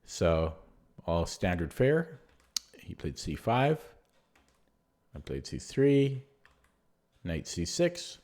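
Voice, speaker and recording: A man going over one of his own chess games move by move in a relaxed, rambling way, with a voice that is going hoarse. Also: low-pitched, drawn-out words, long pauses. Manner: rambling, many fillers and restarts. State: losing his voice. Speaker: chess player reviewing his own game. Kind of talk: casual spoken chess analysis.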